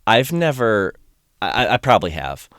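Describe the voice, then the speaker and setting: A low-pitched man hosts a relaxed podcast chat, rambling eagerly and restarting his sentences. His tone is smooth and clear in a dry, close recording, with a slight strain from recent singing. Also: normal speed, no room sound. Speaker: podcast host. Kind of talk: casual conversational recap.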